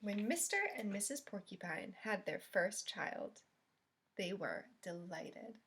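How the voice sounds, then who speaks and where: A woman reading a picture book aloud to young children as a library storyteller, expressive and pausing to ask them questions. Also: medium pitch, a little hiss or hum.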